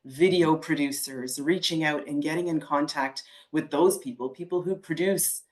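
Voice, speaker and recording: A woman who is a voice actor, with a very deep voice, earnestly answering a coach's questions on a video call. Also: moderate pace, darkish tone. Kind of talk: answering questions in a role-play.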